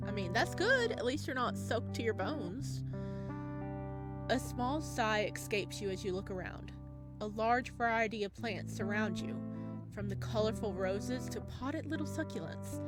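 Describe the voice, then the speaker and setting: Excitable gamer girl, kinda high voice, reads visual novel text out loud and gushes, guitar music going behind her. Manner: gushing, excitable in asides, expressive when reading. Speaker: host of a game playthrough. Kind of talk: let's play commentary with read-aloud narration. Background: plucked guitar game music.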